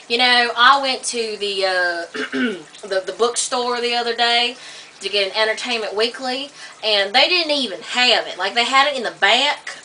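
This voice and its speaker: A woman vlogging in a casual, rambling monologue to camera, full of "like" and "um", getting animated and high when worked up. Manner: chatty, filler-heavy, many "like" and "um" restarts. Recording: distant webcam mic with faint hiss.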